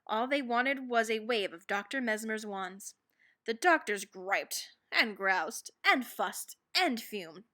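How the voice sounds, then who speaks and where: Bright, high-voiced woman reading a storybook. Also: animated. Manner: dramatic, with stressed words and pauses. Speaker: read-aloud narrator of a children's book. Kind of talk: expressive scripted storybook reading.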